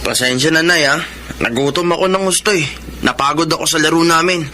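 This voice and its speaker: Bright, sullen boy. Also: a little high, fairly quick, a little hiss or hum. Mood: sheepish. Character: selfish, sullen boy, bullying older brother. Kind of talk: Tagalog radio drama acting.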